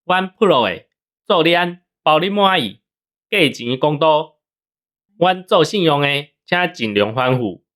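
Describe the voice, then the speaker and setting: Man acting young Taiwanese gangster salesman, radio drama, glib patter, eager to please, raised pitch. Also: animated, a little slow, a bit bright. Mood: oily, eager.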